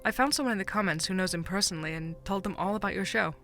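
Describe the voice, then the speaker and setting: An actress plays a keen young woman sleuth in an audio drama, with a bright, forceful voice. She talks eagerly and teasingly to a friend, with music underneath. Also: mid-range voice, very fast, close to an everyday voice. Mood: proud, eager.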